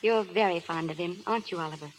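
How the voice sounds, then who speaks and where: An actress playing a devoted, anguished young wife in an old radio drama. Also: female voice, lowish voice, fast, natural voice, low-quality recording. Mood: tender, sorrowful. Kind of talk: 1930s-40s radio drama acting. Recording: old radio broadcast with hissy noise.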